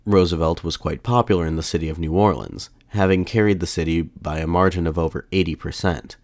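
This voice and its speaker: A man hosting a podcast, narrating history in a measured, formal way, his voice slightly off after a mild illness. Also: low-pitched, no room sound. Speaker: podcast host.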